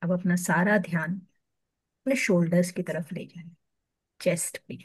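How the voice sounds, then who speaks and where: A woman with an Indian accent leading a guided meditation, soothing and hushed at the ends of phrases, leaving long pauses. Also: lowish voice, fairly even intonation, slightly rough, no room sound.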